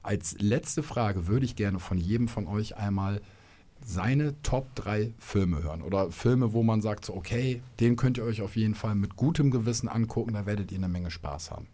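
A man hosting a school podcast, asking polite, measured questions in a small studio. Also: fairly low pitch, warm tone.

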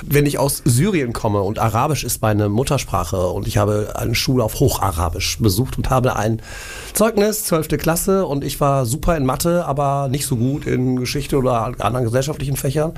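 A man's voice: medium pitch, moderate pace. A man interviewing a guest, working through his questions thoughtfully with hypothetical examples. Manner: thoughtful, poses hypothetical cases.

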